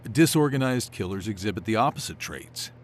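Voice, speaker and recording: A man's voice: lowish voice, expressive, a little fast, slight background noise, close to an everyday voice. A man playing a composed academic expert in an audio drama, explaining in a clinical, lecturing way. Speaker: expert interviewee.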